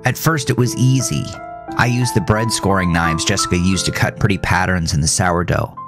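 A man narrating a scripted first-person horror story in a measured, dramatic way with pauses. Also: deep voice, music in the background. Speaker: host and author reading own horror story.

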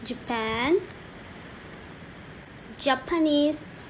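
A woman teaching an English lesson slowly, repeating each word and saying "okay" often, with keyboard typing faintly behind her. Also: high voice, a little hiss or hum, low-quality recording. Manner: slow, repetitive, saying each word twice with frequent "okay". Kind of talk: slow screen-recorded language lesson.

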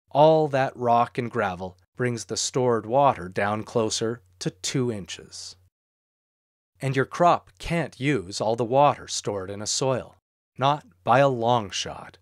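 Steady man narrating a farm lesson. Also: mid-range voice, no room sound, clean studio sound. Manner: clear, steady explanatory delivery. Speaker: narrator of an instructional farm video. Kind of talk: scripted educational narration.

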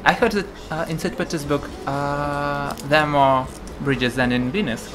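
A man's voice: fairly high pitch, fairly even intonation, background noise. Russian-accented young man in a cafe. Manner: halting, many uh fillers. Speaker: vlogger host. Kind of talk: casual cafe chat. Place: busy cafe indoors. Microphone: desk microphone in front.

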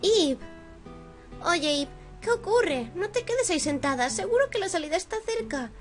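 A woman's voice: extremely high pitch, lively intonation, normal speed, faint music behind, voice pushed up. A woman with an accent from Spain voicing an eager, bossy young girl in a game, impatient to escape. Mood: urging. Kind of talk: acting out game dialogue.

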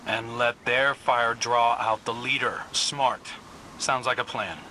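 A man's voice: mid-range voice, fairly even intonation, slow, slight background noise, low-quality recording. An actor playing an earnest, dryly teasing young male scout in a video game. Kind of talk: scripted game dialogue. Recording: loud music and game sounds behind.

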